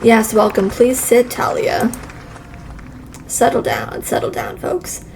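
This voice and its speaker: A woman voicing a slick male late-night talk show host in a visual novel, showy and smooth-talking. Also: on the high side, big pitch swings, slow, a little mellow, some chatter behind, slight background noise, exaggerated voice, low-quality recording. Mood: showy welcome.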